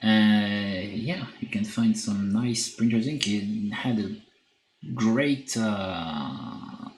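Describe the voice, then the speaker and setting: A man with a French accent talks slowly and hesitantly, filling pauses as he paints and answers chat on a stream. His voice sits low with a gravelly edge. The room sounds echoey, with a faint hiss behind him. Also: animated. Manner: hesitant, many filler pauses, reads chat aloud. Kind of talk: casual live-stream chat while painting.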